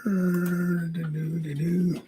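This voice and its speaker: A man with a raspy voice hosting a casual livestream from home, greeting viewers by name and chatting. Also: sits high, little pitch movement, drawn-out words, warm tone, slight background noise. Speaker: livestream host.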